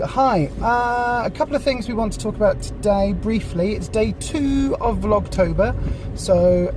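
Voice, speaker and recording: Croaky vlogger in a car. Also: mid-range voice, recorded from a distance. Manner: chatty, rambling, with frequent ums. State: croaky from a cold. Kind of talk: casual chat. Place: in a parked car. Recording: noisy car interior. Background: street and traffic noise.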